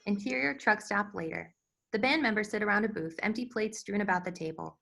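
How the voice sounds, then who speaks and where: A woman narrates a screenplay, reading out the stage directions as scripted narration. Her voice sits at a middle pitch. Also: brisk, gravelly, pushed and bright. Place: virtual table read over video call.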